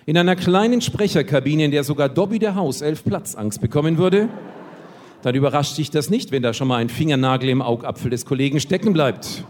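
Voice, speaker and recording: Ironic slam poet in a reverberant hall. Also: male voice, medium pitch. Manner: ironic, punchline-driven, lively. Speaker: poetry slammer. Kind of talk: comic poetry slam performance on stage. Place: stage at a poetry slam event. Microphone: stage microphone.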